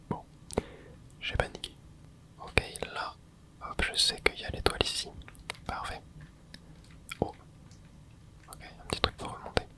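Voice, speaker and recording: Young man whispering gentle gameplay commentary, hushed and soft throughout, with excited little asides. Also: slow, pauses often. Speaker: gaming ASMR creator.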